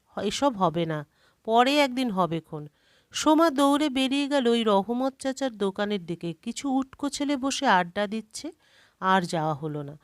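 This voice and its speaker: A woman's voice: fairly high pitch, no echo, clean studio sound. A woman reading a scripted short story as a storyteller.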